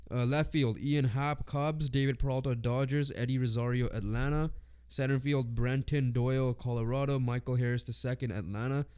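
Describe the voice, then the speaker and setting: A man's voice: mid-range voice, steady tone, a little mellow, smooth. A congested man co-hosting a casual sports podcast, reading off lists with lots of fillers. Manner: reads off lists haltingly with fillers. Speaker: podcast co-host.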